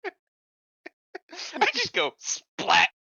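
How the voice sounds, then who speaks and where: A man's voice: drawn-out words, pushed and bright, no room sound, muffled recording. A man playing a tabletop game, casually and playfully narrating what his character does.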